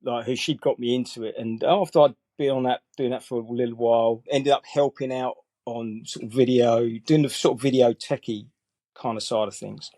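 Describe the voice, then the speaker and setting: A man in his forties who talks easily and meanders, using lots of "you know" and "kind of" fillers. His voice sits at a middle pitch and is recorded dry, with no room echo. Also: moderate pace. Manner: relaxed, rambling, many fillers. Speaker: guest colorist. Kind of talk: casual interview chat.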